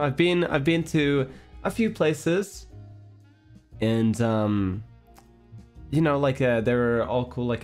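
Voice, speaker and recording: Male streamer, mid-pitched, loose rambling chat to viewers, faint guitar music under the voice. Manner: rambling and chatty, with restarts and breathy laughs.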